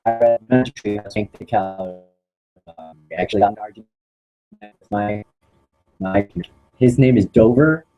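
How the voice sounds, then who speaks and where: A man's voice: mid-range voice, darkish tone, breathy edge, poor audio. Rambling young man with British-ish English. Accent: slightly British-sounding English. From Indonesia. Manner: rambling, many fillers and restarts. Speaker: club member. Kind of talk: casual chat.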